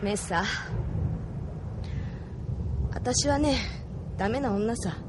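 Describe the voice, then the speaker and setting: An actress playing a tough, blunt female mech pilot with a street delinquent's rough way of talking, in an anime audio drama. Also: fairly high pitch, moderate pace, noisy background, natural voice. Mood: resolute, confessional. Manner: rough, curt, frank speech.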